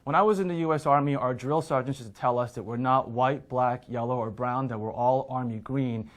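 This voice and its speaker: A man's voice: mid-range voice, a little fast. Earnest man speaking to camera. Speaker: Army veteran. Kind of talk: testimony to camera.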